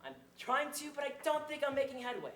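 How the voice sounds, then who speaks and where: A performer playing a stiff, put-upon bank manager, bright high voice, echoey room. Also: very animated, fast. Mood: exasperated. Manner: exasperated, stiffly formal, often shouting. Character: harried pompous male bank manager. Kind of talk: stage comedy acting.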